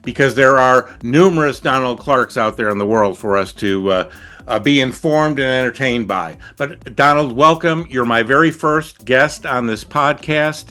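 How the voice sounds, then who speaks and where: Bright-voiced male podcast host. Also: medium pitch, moderate pace. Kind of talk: conversational podcast interview.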